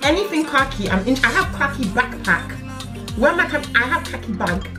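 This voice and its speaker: A woman's voice: sits high, brisk, music in the background. Chatty young woman vlogging at home. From England. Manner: chatty, playful, animated with hand gestures. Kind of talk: casual chat to camera. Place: living room, indoors. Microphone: camera at desk distance.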